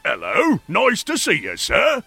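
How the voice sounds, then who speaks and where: A courteous English gentleman, put on by a man for an audio drama, chatting politely. The voice is high and creaky, with sing-song politeness and a very dry, close sound. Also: huge pitch swings, measured pace, no room sound, heavily acted. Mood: polite, chirpy.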